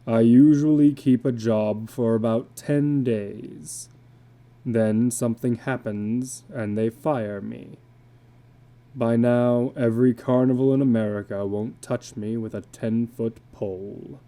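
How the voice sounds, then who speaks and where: A man's voice: medium pitch, steady tone, mellow. A man voicing a weary, middle-aged tattooed carnival drifter in a storybook reading, speaking in a heavy, resigned manner. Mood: defeated. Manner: slow, heavy, resigned delivery.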